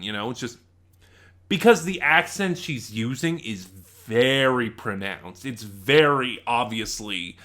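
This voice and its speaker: A man giving a casual spoken review, talking in a rambling, halting way and often backtracking to rephrase himself. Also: mid-range voice, sharp tone. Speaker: reviewer, channel host.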